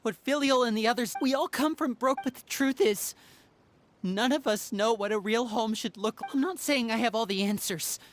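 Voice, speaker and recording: A woman's voice: a little high, normal speed, slight background noise, natural voice. A woman playing an earnest youngster in a video game, imploring on behalf of adopted siblings. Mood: pleading.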